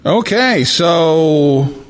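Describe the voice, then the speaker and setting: A man hosting a live radio talk show from a hotel, talking in a halting way with many fillers and restarts. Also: fairly high pitch, lively intonation. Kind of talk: live call-in show banter. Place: hotel room during a convention.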